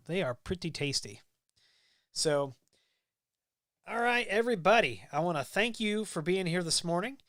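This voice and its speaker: A man's voice: mid-range voice, a bit bright, clean tone, no room sound, studio quality. A Midwestern man hosting a casual live stream, reading viewer comments and rambling easily with frequent ums and restarts. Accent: Midwestern American. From American Midwest. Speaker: livestream host.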